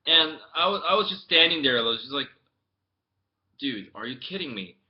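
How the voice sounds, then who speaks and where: A young man from the United States, chatting casually to his camera as a vlogger. He tells his story in a rambling way with many restarts, and it swings from mumbled asides to loud outbursts. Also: a little high, lively intonation, normal speed, lo-fi sound. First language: English. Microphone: laptop webcam mic.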